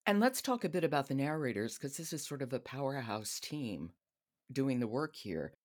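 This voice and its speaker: A woman's voice: extremely low pitch, very animated, moderate pace. A woman hosting a podcast, chatting casually about books. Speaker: podcast host. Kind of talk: casual book chat.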